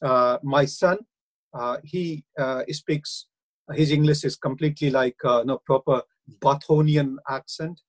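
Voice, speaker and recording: A middle-aged man with an Indian accent, speaking earnestly and haltingly in a radio interview, with frequent hesitations. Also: mid-range voice, steady tone. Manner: earnest, hesitant, many uh fillers. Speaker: councillor and university lecturer.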